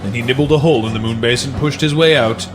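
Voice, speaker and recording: A man with a mid-pitched voice hosts a children's book session, reading aloud and chatting about stories. Music plays behind him. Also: fast. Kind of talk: storybook read-aloud and casual chat about books. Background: background music.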